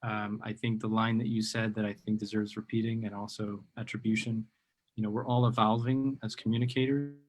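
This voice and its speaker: A man's voice: fairly low pitch, level tone, fairly quick. A man hosting a live-stream interview, enthusiastic and rambling, with many ums and uhs. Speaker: host of a live video interview show.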